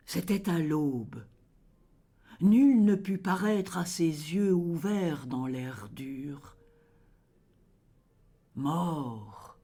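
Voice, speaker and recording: A person reciting poetry in a solemn, measured and dramatic way, letting phrase endings fall into a hush. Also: mid-range voice, breathy edge. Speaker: poetry reader.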